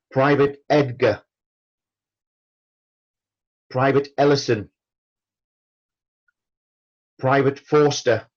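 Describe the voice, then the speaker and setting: A man reading a solemn memorial roll call in a measured, formal way, pausing after each name. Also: medium pitch, dull audio. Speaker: veterans' branch chairman reading a roll call.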